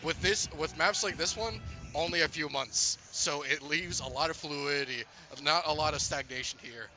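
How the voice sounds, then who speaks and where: A man doing color commentary for an esports broadcast, with a slightly high voice. He explains strategy with playful quips, and clear music plays under his voice. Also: normal speed, pushed and bright, rough recording.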